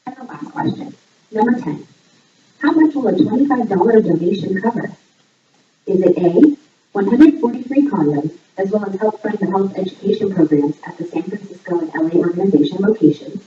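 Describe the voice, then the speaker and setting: A woman's voice: expressive, brisk, warm tone. Distant, echoey woman reading quiz questions. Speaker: trivia game host. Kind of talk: scripted voice-over, reading quiz questions and answers. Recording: echoey, distant, muffled low-fidelity playback. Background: voice audio played back in the room while filming.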